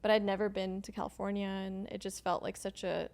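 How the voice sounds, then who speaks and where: Gravelly-voiced Swedish-born woman, hesitant. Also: mid-range voice, little pitch movement, fairly quick, darkish tone. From Sweden. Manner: thoughtful, hesitant, many ums and likes, laughs often. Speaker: podcast guest. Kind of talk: casual conversational interview.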